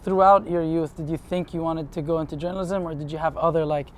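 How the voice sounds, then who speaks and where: A man's voice: a little high, fairly even intonation, quick pace, slight background noise. A man hosting an interview show, reading an earnest, admiring introduction and then asking questions. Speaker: podcast host. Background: music under the intro.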